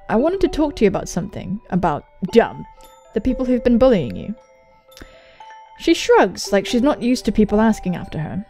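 A young woman hosts a let's play over soft background music. Her voice sits fairly high and swings widely in pitch as she reads game dialogue aloud. She adds jokey, animated asides and swears freely. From England. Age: young adult. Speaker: gaming video host. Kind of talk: let's play commentary and dramatic reading of on-screen dialogue. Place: indoor room. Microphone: large microphone close to the mouth.

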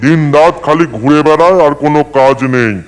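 Cartoon casting: man as strict ruler of the dead, higher-set male voice, bossy and reproachful. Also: brisk, smooth, faint music behind, close to an everyday voice, low-quality recording. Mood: annoyed, commanding. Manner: scolding, commanding. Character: stern, scolding lord of death judging sinners in the underworld. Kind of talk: Bengali cartoon voice acting.

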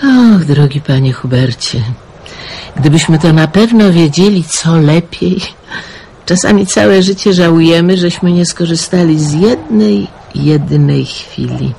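An actress playing a warm, wistful middle-aged countrywoman who runs a wartime household in a radio drama. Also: lowish voice, a little slow, vocal fry, slight background noise, low-quality recording. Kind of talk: Polish radio drama acting.

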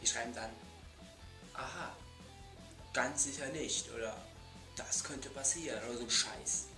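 A man's voice: mid-range voice, unhurried. A young adult man delivering an emphatic, exasperated comedic vlog rant in a bedroom, repeating himself for effect. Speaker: video blogger. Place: bedroom, indoors. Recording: echoey room, music behind.

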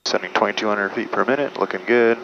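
A man with a low, bright-edged voice narrates as he flies, calling out checklist items in short clipped phrases. The audio is slightly dull, with faint noise from the small cockpit. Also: fast. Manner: clipped checklist call-outs. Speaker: pilot narrating his flight. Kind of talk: cockpit commentary and radio calls. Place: small aircraft cockpit in flight. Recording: noisy, band-limited cockpit audio.

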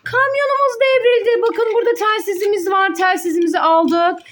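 A woman's voice: very high-pitched, fairly even intonation, brisk. A woman, a mother, narrating toy play for children, encouraging and exclamatory as she talks to her small child and to viewers. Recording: light background noise with clicks.

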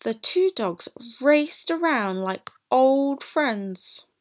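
A woman's voice: high voice, lively intonation, extremely slow, voice pushed up, exaggerated voice. A woman voicing a bored, lonely, timid young boy in a storybook, plaintive and wide-eyed. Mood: wistful, amazed. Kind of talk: storybook read-aloud.

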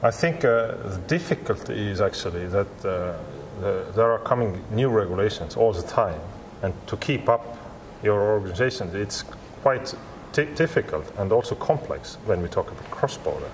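Low-voiced man, halting, over venue noise. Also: animated, rough recording. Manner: halting, repetitive non-native English phrasing. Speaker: interviewed software company representative. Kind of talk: interview answers. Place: large glass-walled expo hall, indoors. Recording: clear ambient hum of large venue.

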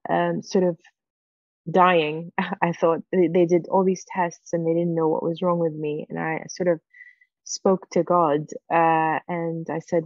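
A woman in her forties, a native Farsi speaker, telling a personal story on a video call with many pauses and fillers. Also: on the low side, dry recording. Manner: halting, many fillers and restarts. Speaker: guest. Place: home room with windows. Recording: dull, dry video-call audio.

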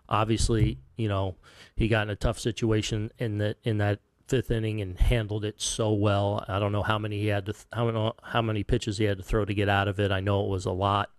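A heartbroken man in his fifties answers reporters' questions, stopping and restarting often. His voice sits low and barely moves in pitch. The table mic sounds close and dry. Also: no echo. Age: 50s. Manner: halting, soft-spoken, many restarts and fillers. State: heartbroken, subdued. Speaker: baseball manager. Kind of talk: postgame press conference answers. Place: press conference room. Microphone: table microphone.

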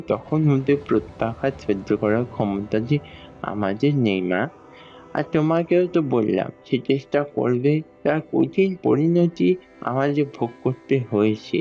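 Guy doing an old village priest telling a horror tale, solemn and measured, bit muffled, music behind. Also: medium pitch, mellow, close to an everyday voice. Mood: grave, cautioning. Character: grave elderly village priest recounting his father's fate. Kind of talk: dramatic horror audio story acting. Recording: slightly dull studio sound under music. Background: background music.

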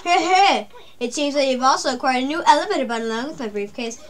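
A woman's voice: high voice, animated, fast, faint music behind. A woman reading as an old inventor professor. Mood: chipper. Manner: reading on-screen dialogue aloud. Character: elderly male inventor professor, cackling.

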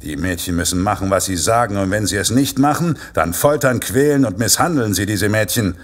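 An actor in an audio drama playing a menacing vigilante killer dressed as Santa, mocking and deliberate in his threats. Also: lowish voice, lively intonation. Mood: cold, accusing. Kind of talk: German audio drama acting.

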